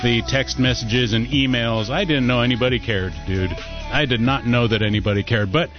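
A man's voice: medium pitch, normal speed. A man hosting a radio talk show, easygoing and casual, who tosses in "dude" as he chats. He is sore from bruised ribs. The recording is a little dull, with faint music underneath. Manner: laid-back, says "dude" often.

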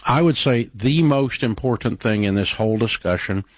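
A man's voice: on the low side, moderate pace, dry recording, dull audio. A man hosting a call-in advice show, questioning a caller directly and working through the numbers with her. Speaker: radio advice host.

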